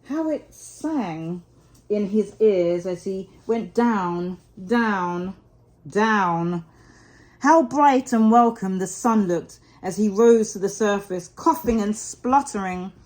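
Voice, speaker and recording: A woman reads a children's story aloud as a storyteller. Her delivery is expressive, with dramatic emphasis and drawn-out key words, at a medium pitch.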